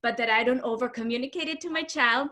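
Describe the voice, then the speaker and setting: A woman's voice: on the high side, fast, pushed and bright. A middle-aged woman from Honduras speaking as an interview guest, telling stories conversationally and emphatically, acting out what people say. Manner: emphatic, acts out quoted speech. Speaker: interview guest, homeschooling mother. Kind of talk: animated conversational storytelling.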